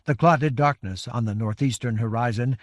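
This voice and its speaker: Dry, measured male audiobook narrator. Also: mid-range voice, no echo. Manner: measured, grave storytelling. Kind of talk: scripted dramatic fiction narration.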